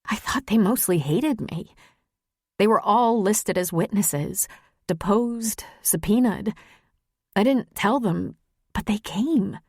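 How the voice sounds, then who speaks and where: A woman's voice: medium pitch, moderate pace, a little mellow, dry recording, clean studio sound, pitched above the performer's own voice, lightly acted. A woman voicing an earnest, openly emotional adult woman lawyer in an audiobook. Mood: tearful, shaken.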